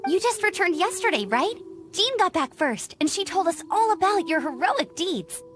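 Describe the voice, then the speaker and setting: A woman voicing a cheerful, eager young female scout who talks in a bright, upbeat, bubbly way in a video game. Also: extremely high pitch, sing-song, moderate pace, soft background music, exaggerated voice. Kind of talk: video game dub acting.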